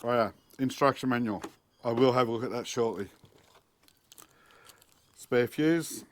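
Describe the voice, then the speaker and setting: A man's voice: medium pitch, unhurried. A middle-aged man with an Australian accent, giving a casual, matter-of-fact DIY walkthrough as a vlog host. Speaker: host of a DIY four-wheel-drive channel. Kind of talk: casual unboxing and installation walkthrough. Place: outdoors in a backyard, later inside a car cabin.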